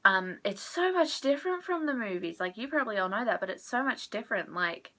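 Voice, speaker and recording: A woman's voice: on the high side, lively intonation, brisk, a bit bright. A young woman chatting casually about books she read, a little stuffed up from sneezing, rambling and correcting herself. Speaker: book vlogger. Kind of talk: casual book wrap-up chat.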